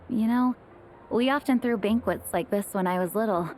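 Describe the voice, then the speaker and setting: A woman voicing an earnest, courteous young leader in a video game, gentle and thoughtful in manner. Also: medium pitch, a little slow, slight background noise, natural voice. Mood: wistful. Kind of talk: video game character acting.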